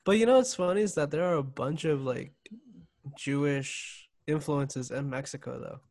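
A man's voice: on the high side, moderate pace, a little mellow. A man co-hosting a podcast, chatting casually while watching an old game, laughing often and joking. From Germany. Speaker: podcast co-host. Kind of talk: casual chat.

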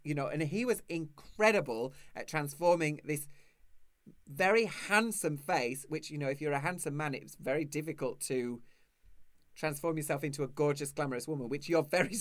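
Camp guy in his 30s, bright animated voice, chatty, dry sound. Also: fairly high pitch, dry recording. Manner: camp, chatty, quick to laugh. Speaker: host. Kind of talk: casual chat over video call. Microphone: video call.

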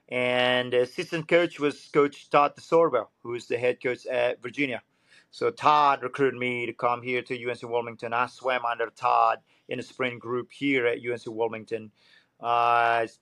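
A man's voice: medium pitch, fairly bright tone, no echo. A man in his thirties from Indonesia, speaking, is a swim coach guest telling his story earnestly and searching for words. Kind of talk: casual interview.

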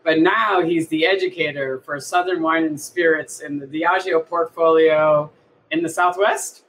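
A woman in her forties hosting an interview podcast, upbeat and quick to laugh. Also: deep voice, fairly even intonation, a little hiss or hum, poor audio. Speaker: podcast host.

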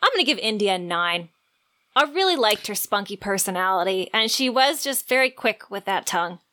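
Enthusiastic woman with a bright voice. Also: medium pitch, animated, brisk. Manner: emphatic, enthusiastic. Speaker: book podcast co-host. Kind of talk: casual book discussion chat.

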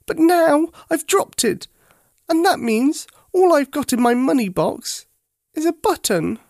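A storyteller voicing an earnest, forlorn little male toy bear in a plaintive, childlike, expressive way for a children's story. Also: sits high, a little slow, vocal fry, no room sound, clean studio sound, pitched above the performer's own voice, heavily acted. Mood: dejected.

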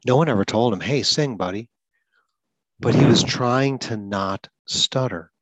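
A man's voice: mid-range voice, measured pace, no echo, low-quality recording. A man who stutters, hosting a talk about school support, explaining things earnestly with occasional repeated words. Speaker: podcast host.

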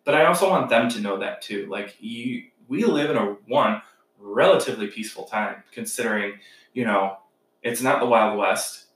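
A man's voice: medium pitch, moderate pace, a bit bright, distant mic. A young man from the United States in casual chat as a podcast co-host, rambling and musing with lots of filler words. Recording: roomy sound, set back from the mic.

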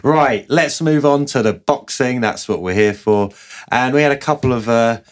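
A man hosting a casual boxing podcast, his voice hoarse from a sore throat and fading, joking at his own expense. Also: mid-range voice, normal speed. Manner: self-mocking, joking. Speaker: podcast host.

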